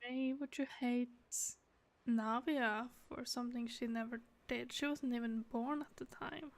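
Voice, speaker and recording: A woman's voice: a little high, fairly even intonation, unhurried. A woman giving casual commentary while she streams a video game.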